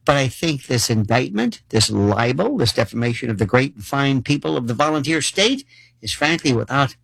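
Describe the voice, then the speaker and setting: A man's voice: mid-range voice, animated, normal speed, gravelly, fairly bright tone, no room sound, low-quality recording. A man hosting a call-in radio talk show, theatrical and wordy with mock-formal turns of phrase. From Florida.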